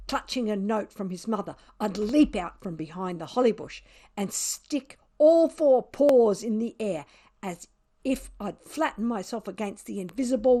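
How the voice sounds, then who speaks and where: A woman's voice: medium pitch, mellow. A woman reading a children's storybook as a smug, sardonic, mischievous pet cat, with dramatic, wry emphasis. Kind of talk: children's storybook read aloud.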